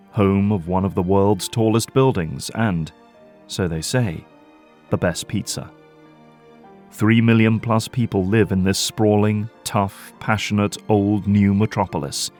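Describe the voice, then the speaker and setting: A man narrates an audio drama in a scripted, dramatic style. His voice sits fairly low, with faint music underneath. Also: a little mellow.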